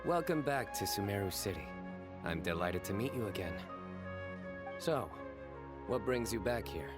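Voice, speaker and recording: Guy playing a deep voiced young game investigator, deadpan and formal but lots of melody, proud of his puns. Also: loud music behind the voice, natural voice. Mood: composed, welcoming. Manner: deadpan, measured, formal phrasing. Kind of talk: video game voice acting.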